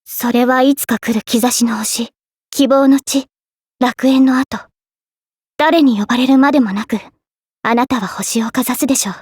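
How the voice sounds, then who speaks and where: A young heroine mage from a Japanese fantasy game. Her voice is high, clear and youthful, with an earnest, courteous manner. The recording is close and dry, free of room sound. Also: normal speed, a little mellow, no room sound. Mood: solemn, resolute. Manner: polite, earnest. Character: young girl mage, tomboyish, modest, earnest, later a solemn king. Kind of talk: Japanese game character voice lines.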